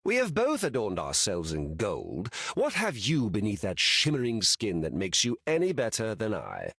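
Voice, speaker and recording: A scheming trickster god from a video game, theatrical and sardonic. His low voice swings widely in pitch. The sound is dry and close. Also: wildly swooping pitch, moderate pace, vocal fry, a bit bright, husky, dry recording, heavily acted. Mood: sly. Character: sly scheming male trickster god, ironic.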